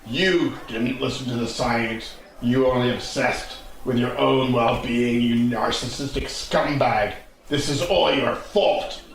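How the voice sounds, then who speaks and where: A man's voice: mid-range voice, takes it slowly, gravelly. An older actor playing a bitter, grieving grandfather who heckles a politician, accusing and declamatory, in a very echoey audio drama. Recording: very echoey, reverberant space.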